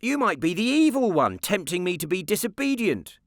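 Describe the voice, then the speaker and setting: A sly little storybook boy, played by a male reader, pitched well up and very lively in tone. He sounds prim and falsely innocent, with mischief underneath. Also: moderate pace, no echo, clean studio sound. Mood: mock-innocent. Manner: mock-innocent, prim, gleeful.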